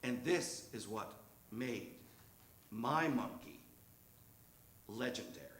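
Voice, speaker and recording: A man reading a memoir story aloud at a live show in a theatrical, emphatic, drawn-out manner, recorded in a roomy stage space. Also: medium pitch, expressive, fairly bright tone.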